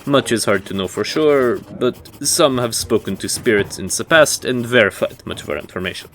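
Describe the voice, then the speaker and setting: A man playing a dry, reserved history professor at a fantasy university, lecturing in a measured, formal way for an audio drama. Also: mid-range voice, fairly bright tone, a little hiss or hum, close to an everyday voice.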